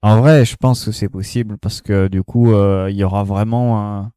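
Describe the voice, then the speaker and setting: A man answering quiz questions on a friendly podcast, his voice smooth and resonant with a warm, dark tone. He thinks aloud, hedging and restarting his sentences as he reasons. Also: fairly low pitch, steady tone, normal speed, no echo, studio quality. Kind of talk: casual chat.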